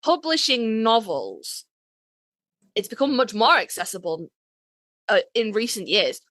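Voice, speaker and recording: A woman's voice: fairly high pitch, sing-song, measured pace, a bit bright, no room sound, clean studio sound. A woman chatting casually as a podcast host, emphatic and swearing freely. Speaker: author.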